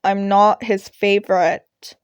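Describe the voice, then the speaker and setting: Woman as a weary, deadpan male teacher. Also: mid-range voice, takes it slowly, clear, resonant tone, dry recording, natural voice. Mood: grumpy. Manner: flat, curt, exasperated. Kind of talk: podfic character voice.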